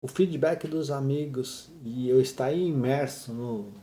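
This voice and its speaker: A man's voice: medium pitch, measured pace, warm tone. A middle-aged man teaching Japanese in a casual talking-head video, speaking conversationally with frequent tag questions and restarts.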